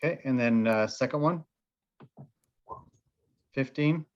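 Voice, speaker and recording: A man's voice: mid-range voice, slow pace. Nasal-sounding guy at a d&d table, lots of uhs, kinda muffled mic. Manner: hesitant, lots of "uh" while counting damage. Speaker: player. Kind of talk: casual tabletop game talk.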